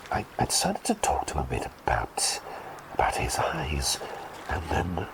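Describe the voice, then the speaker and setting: A man voicing a quirky, kindly male bird plague doctor in whispered ASMR roleplay, rambling and halting at times, then soft and reassuring. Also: very deep voice, huge pitch swings, full character voice, rough recording.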